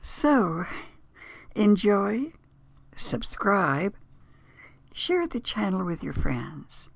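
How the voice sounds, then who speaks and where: A woman speaks as a casual channel host. Her voice is full of fry and rasp. She takes her time, and the sound is dry and close. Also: medium pitch, big pitch swings, very slow, no echo. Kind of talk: casual scripted introduction.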